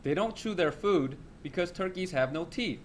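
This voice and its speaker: A man's voice: sits high, animated, moderate pace, slight background noise. A man reading a children's nonfiction text aloud as a teacher.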